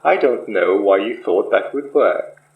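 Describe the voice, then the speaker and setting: An actor playing a polished, sinister sorcerer spirit from the past in an audio horror drama, measured and theatrical. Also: sits low, lively intonation, gravelly, heavily acted. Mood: politely menacing. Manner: slow, measured, theatrical, politely menacing. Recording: muffled, echoey room.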